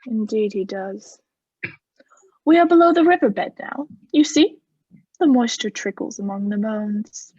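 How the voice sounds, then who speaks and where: A woman acting a coolly scheming aristocrat in a radio play, formal and measured, feigning friendly concern. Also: fairly high pitch, expressive, darkish tone, husky. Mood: solemn, solicitous. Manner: formal, measured, slyly solicitous. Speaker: student actor in a radio play. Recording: echoey, slightly muffled recording.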